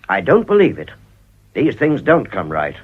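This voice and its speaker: Low-voiced, stern British company director. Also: male voice, wildly swooping pitch, husky, heavily acted. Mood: resolute. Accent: British English. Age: middle-aged. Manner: grave, firm, deliberate. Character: stern, scrupulously honest male company director. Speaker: actor. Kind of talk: radio play acting. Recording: dull, muffled old radio recording.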